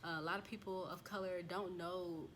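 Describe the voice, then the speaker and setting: An American woman with a slightly low voice talks loosely to her viewers, full of "um"s and self-corrections. She reads through results as she goes. A faint hum sits underneath. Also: fairly even intonation, normal speed, fairly bright tone. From United States. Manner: chatty and loose, with frequent fillers and restarts. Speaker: vlogger. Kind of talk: casual talk to camera while reading results off a screen.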